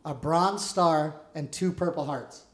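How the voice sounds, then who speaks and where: A man with a loud, carrying voice, hosting a casual podcast and telling military stories in blunt, profane slang. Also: on the high side, slow, echoey room. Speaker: podcast host interviewing a fellow veteran.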